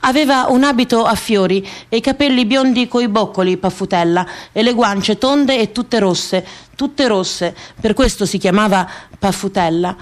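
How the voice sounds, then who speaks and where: Woman, radio theatre monologue, middle pitch, deliberate delivery, incantatory repeated phrases, scripted and dramatic. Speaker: actress performing her own theatrical monologue.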